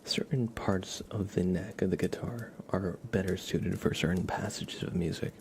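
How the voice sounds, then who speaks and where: Low, creaky, soft-spoken ASMR man. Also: normal speed. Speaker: ASMR live streamer. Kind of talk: ASMR, casual informal music explanation to live chat.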